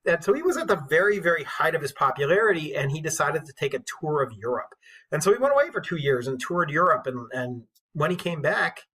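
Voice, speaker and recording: Husky-voiced man teaching English literature. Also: fairly high pitch, very animated, a little fast, a bit bright. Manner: enthusiastic, colloquial storyteller. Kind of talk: informal classroom lecture with storytelling.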